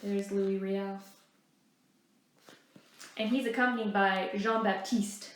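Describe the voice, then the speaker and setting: A woman's voice: medium pitch, fairly even intonation, slow. A woman narrating a graphic novel aloud as a library storyteller. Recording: very echoey, reverberant room.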